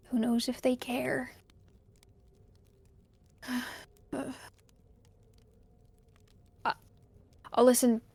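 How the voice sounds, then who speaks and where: Lonely snowbound college student, played by a woman, audio drama. Higher voice, frayed with fry, hesitant delivery. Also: a little mellow. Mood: weary. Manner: hesitant, halting, sighing. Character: weary, lonely college student stuck alone in a snowbound house. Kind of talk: audio drama, halting personal tape-log monologue.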